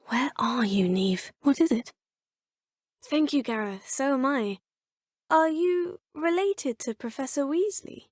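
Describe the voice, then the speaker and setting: A young woman voicing a polite, self-assured female witch student in a video game, with measured, proper phrasing. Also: on the high side, husky, dry recording, clean studio sound. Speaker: player character. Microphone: studio mic.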